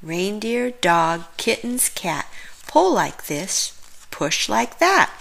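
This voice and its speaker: Very slow, animated female storybook reader. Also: medium pitch, vocal fry. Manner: expressive, drawn-out words and dramatic pauses. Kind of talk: read-aloud picture book narration.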